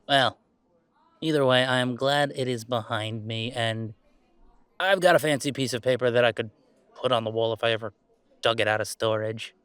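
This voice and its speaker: A man plays a young male werewolf gamer with an everyday, mid-pitched voice and an unhurried delivery. He talks casually and wryly, pausing as he goes, like one side of an intimate roleplay chat. The sound is close and very dry. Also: a bit bright, clear, resonant tone, no echo, lightly acted. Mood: easygoing, wry. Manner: casual, halting, wry, conversational. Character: laid-back young male werewolf gamer, wry and chatty. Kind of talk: intimate roleplay audio, naturalistic one-sided conversation.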